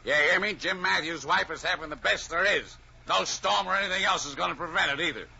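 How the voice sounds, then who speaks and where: An actor playing a tipsy, bitter and stubborn husband in a melodramatic radio drama. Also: male voice, high voice, fairly quick, creaky, lo-fi sound. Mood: domineering. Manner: belligerent, boastful. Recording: band-limited vintage radio recording, faint noise.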